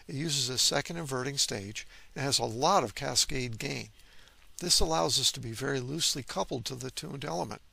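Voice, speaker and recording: A man giving scripted technical narration as an instructor, in a measured, didactic manner. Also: mid-range voice, creaky, bright, forceful tone, breathy edge, dry recording. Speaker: electronics instructor.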